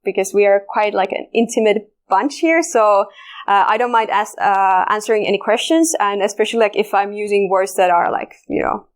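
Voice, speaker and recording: Finnish accent woman doing a conference talk on security, mid pitch, lots of uhs and restarts. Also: normal speed. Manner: conversational, many fillers and restarts. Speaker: conference speaker. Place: conference room.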